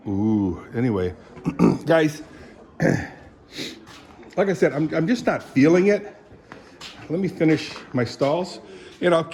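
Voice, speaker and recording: A man in his seventies, born in Indonesia, talking in a rambling, halting way, full of fillers and frequent throat clearing. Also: mid-range voice, slight background noise. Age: 70s. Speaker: vlogger. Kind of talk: rambling casual monologue.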